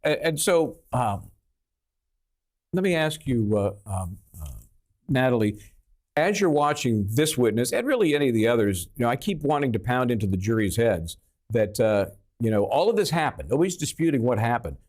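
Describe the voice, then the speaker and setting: A man hosting a live legal panel, putting questions to analysts in a halting, emphatic way that often repeats words. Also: mid-range voice, sing-song, darkish tone. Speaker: host of a legal discussion show.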